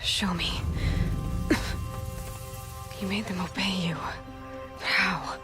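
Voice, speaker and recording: A woman's voice: lowish voice, fairly even intonation, takes it slowly. A woman playing a determined, self-reliant young huntress in a video game, often half-muttering to herself. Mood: focused, muttering. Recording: music behind the voice.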